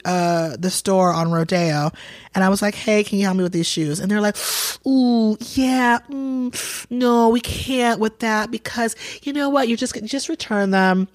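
A woman's voice: mid-range voice, dry recording, clean studio sound. A woman in her thirties, a guest on a podcast, chatting casually and telling playful, gossipy stories with quoted voices. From Boston, raised in Atlanta. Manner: animated storyteller who acts out quoted dialogue, playful and gossipy.

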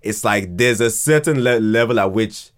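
A man chatting casually as a podcast guest, often repeating words haltingly as he thinks aloud. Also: on the low side. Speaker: podcast guest, fiction podcast writer. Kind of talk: casual conversational interview.

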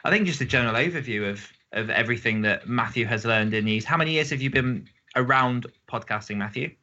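A man's voice: medium pitch, fast talker, bright, forceful tone, muffled recording. A man hosting a podcast in a casual, chatty style. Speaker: podcast host. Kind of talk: casual chat.

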